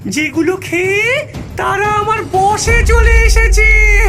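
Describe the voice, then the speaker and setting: Wicked candy witch for a cartoon: piercingly high, very slow, theatrical, gloating, over faint music. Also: full character voice. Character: wicked child-eating witch luring children with candy. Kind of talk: cartoon villain voice acting.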